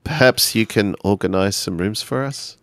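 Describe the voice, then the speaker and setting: Low smooth guy playing a tabletop rpg, halting, feeling for words. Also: fairly bright tone, no room sound. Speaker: tabletop role-playing player. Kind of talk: narrating a character's actions in tabletop role-play.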